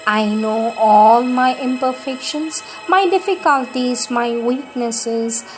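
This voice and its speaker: A woman reading devotional prayers aloud in a measured, reverent and prayerful manner, as for an audiobook. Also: fairly high pitch, soft background music.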